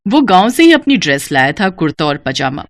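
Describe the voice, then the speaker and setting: A woman's voice: lowish voice, very animated, brisk, background noise. A woman narrating a scripted moral story for children as a storyteller.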